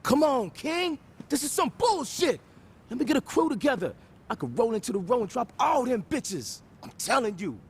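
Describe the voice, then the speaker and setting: A man playing an impatient, indignant young gangster with a raspy voice in a video game. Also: very high-pitched, very animated, moderate pace, full character voice. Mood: pleading. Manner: indignant, pleading. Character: hot-headed, impatient young male gangster. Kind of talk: video game cutscene acting.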